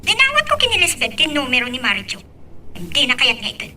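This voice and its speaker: Suspicious woman, played by an actress: cutting, high, very animated, radio-drama style, slightly distant and noisy. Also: fast, full character voice. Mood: insistent.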